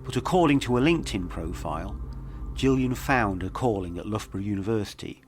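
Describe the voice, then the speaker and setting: A man reading scripted mystery narration in a measured, dramatic storytelling manner. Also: on the low side, animated, slight background noise. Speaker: documentary podcast narrator.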